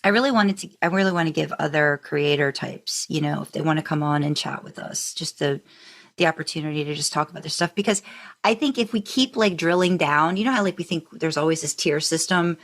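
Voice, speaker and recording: A woman podcast host with a slightly low voice talks in a casual, conversational interview style. She is effusive and chatty, wandering into asides as she goes. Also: brisk. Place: remote video call.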